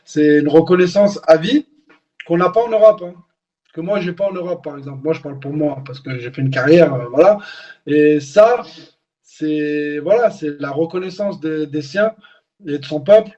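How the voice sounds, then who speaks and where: A man's voice: fairly high pitch, moderate pace, mellow, dull audio. A man born and raised in France, a former footballer speaking candidly as an interview guest, often repeating words as he searches for them. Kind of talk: casual interview.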